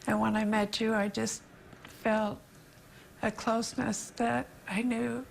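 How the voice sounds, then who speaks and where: Woman, middle-aged, halting interview delivery, creaky and flat in pitch. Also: slight background noise, rough recording. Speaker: interviewee, victim's daughter.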